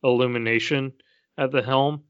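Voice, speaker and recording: A man co-hosting a casual podcast, speaking in a measured, hesitant way as he thinks aloud. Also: mid-range voice, fairly even intonation, clear, resonant tone, no echo, muffled recording. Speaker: podcast co-host. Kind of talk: casual chat about video games and movies.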